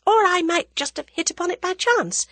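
A woman voicing a quick-witted young adventuress who is sweet, polite and teasingly composed. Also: very high-pitched, animated, a bit bright, no room sound, voice pushed up, heavily acted. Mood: coolly innocent.

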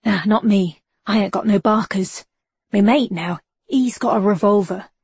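A woman's voice: mid-range voice, moderate pace, a little mellow, dry recording. A woman voicing Billy the Bolter, a shifty male Victorian street informant with a slangy voice, in an audiobook. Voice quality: rough slangy street talk. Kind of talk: audiobook character voice.

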